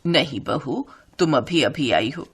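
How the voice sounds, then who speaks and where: Stern older mother-in-law played by a female narrator, lowered voice, bossy and grumbling, storybook family drama. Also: expressive, a little slow, breathy edge, exaggerated voice. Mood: disapproving. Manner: scolding, grumbling.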